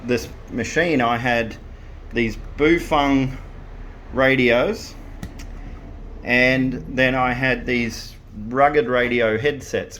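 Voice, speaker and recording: A man's voice: mid-range voice, fairly bright tone, a little hiss or hum. A man talking casually to camera about fitting an intercom into his vehicle, plain-spoken and rambling with frequent restarts. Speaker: vehicle owner showing an intercom install.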